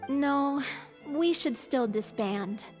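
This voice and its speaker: An actress voicing a frail, earnest young female troupe director in a video game, gentle and weary but stubborn. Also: sits high, lively intonation, slow pace, big-room sound, soft background music. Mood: weary, firm.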